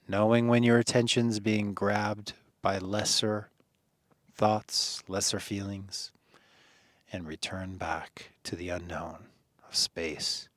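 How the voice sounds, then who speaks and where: A man leading a guided meditation in a soothing, earnest manner, phrasing deliberately with pauses and gentle encouragement. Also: fairly low pitch, fairly even intonation, no echo, studio quality.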